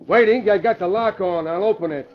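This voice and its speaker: An actor playing a jumpy, slangy low-level gangster henchman in a 1940s-style radio drama. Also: quick pace, close to an everyday voice. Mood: impatient, startled. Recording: dull lo-fi old radio recording.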